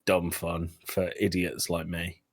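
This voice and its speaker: A man's voice: low voice, monotone, unhurried. A man co-hosting a podcast, chatting casually about films in an irreverent, self-deprecating way. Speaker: podcast co-host. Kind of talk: casual film chat.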